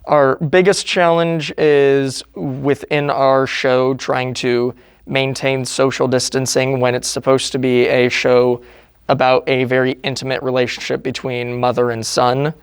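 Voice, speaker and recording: A man answers interview questions informally about his production work. His voice is smooth and clean, with very little room around it. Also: mid-range voice, level tone, measured pace, no echo, clean studio sound.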